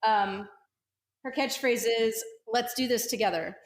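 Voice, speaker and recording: A woman's voice: a little high, steady tone, a little slow. A woman speaking casually and off the cuff as a player in a tabletop role-playing game. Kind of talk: casual, improvised character description.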